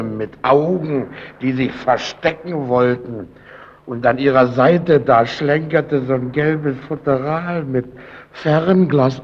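A man's voice: mid-range voice, close to an everyday voice. An actor playing a menacing superior in a German radio play, needling and mock-friendly as he bullies a timid underling. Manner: needling, insinuating, mock-friendly, swinging from shouts to mutters. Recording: dull, noisy old radio play recording.

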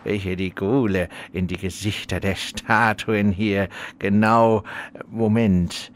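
A man's voice: fairly low pitch, fairly even intonation, takes it slowly, slight background noise. A man playing a grandiose but easily flustered fantasy boss in a parody audio drama, with theatrical manner. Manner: theatrical, stately.

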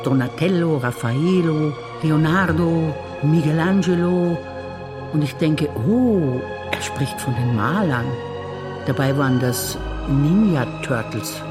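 Woman calmly reciting memories on stage. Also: sits low, takes it slowly, music in the background. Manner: calm, reflective storytelling. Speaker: stage actor.

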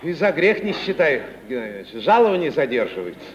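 A man's voice: fairly high pitch, big pitch swings, fast. An actor playing a shabby, chatty wandering male comic in a 1950s radio play, meek, deferential and plaintive. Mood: sheepish. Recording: dull, faintly noisy 1950s radio recording.

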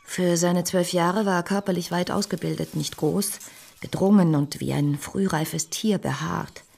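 An actress playing a composed, compassionate bourgeois lady who tells her story in a measured, earnest radio drama style. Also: lowish voice, steady tone, creaky, a little mellow, slightly rough, exaggerated voice. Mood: troubled, resolute. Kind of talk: radio drama narration and dialogue.

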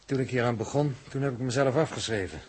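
A man acting a sober, resolute spaceship crew leader in a 1960s radio drama, speaking in a measured, firm way. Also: medium pitch, husky, close to an everyday voice. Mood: grave, resolute. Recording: noisy old radio recording.

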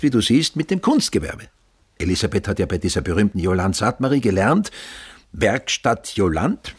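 Husky, animated Viennese businessman. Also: lowish voice, exaggerated voice. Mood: boastful, emphatic. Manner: blunt, self-important, emphatic. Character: brisk, boastful older father-in-law, Viennese businessman. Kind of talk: radio drama acting.

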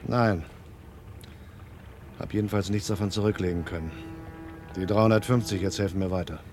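An actor playing a weary, resigned art forger in a German crime radio play, terse and matter-of-fact. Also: male voice, low voice, fairly even intonation, moderate pace, over music, natural voice. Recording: vintage radio play, faint engine-like noise.

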